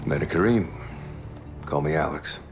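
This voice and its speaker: An actor in his thirties playing a calm, guarded CIA operative in a video game, with an American accent. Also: extremely low pitch, moderate pace, vocal fry, music in the background. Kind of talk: video game cutscene acting. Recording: loud noise behind the voice.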